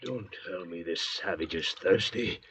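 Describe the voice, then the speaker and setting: An actor playing a bitter, suspicious thief with a rough voice and a sneering, taunting way of talking, in a 1940s radio drama. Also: male voice, low-pitched, unhurried, a bit bright, a little hiss or hum. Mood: sullen, resentful. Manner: sardonic, taunting. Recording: muffled old radio recording.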